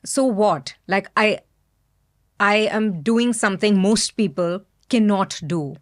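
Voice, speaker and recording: A woman's voice: mid-range voice, takes it slowly. A woman from India with an Indian accent, speaking candidly and thoughtfully as a podcast guest. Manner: soft-spoken, candid, thoughtful. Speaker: guest, business founder. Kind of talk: reflective podcast interview.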